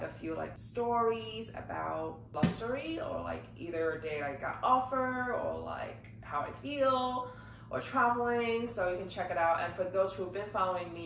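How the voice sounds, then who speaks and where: A woman's voice: on the low side, creaky, fairly bright tone, slight background noise. A congested woman recovering from a cold, chatting casually to her viewers in a filler-heavy way while seated in her living room. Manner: chatty, frequent "like" and "you know" fillers. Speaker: luxury unboxing vlogger. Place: living room, seated behind gift boxes. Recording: echoey room, slightly distant mic.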